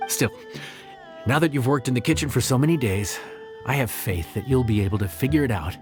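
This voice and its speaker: A man's voice: mid-range voice, a little fast, over music, natural voice. An actor voicing a seasoned middle-aged chef who encourages his stand-in. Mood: encouraging.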